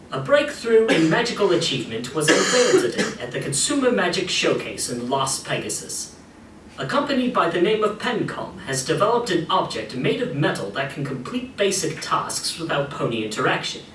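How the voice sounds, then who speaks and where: Echoey, distant male narrator with lively intonation. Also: fairly high pitch. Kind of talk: scripted second-person game narration. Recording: echoey room playback, distant, faint noise.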